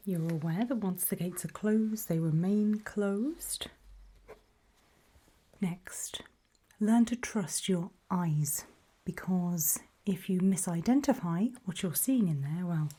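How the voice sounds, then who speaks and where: Woman acting slow, whispering, faintly sinister briefing official. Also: fairly low pitch, warm tone. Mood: calm, brisk. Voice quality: breathy whisper. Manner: slow, measured, politely ominous. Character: calm, faintly sinister official briefing people before alien zone. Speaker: ASMR roleplay performer. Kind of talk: whispered ASMR roleplay briefing.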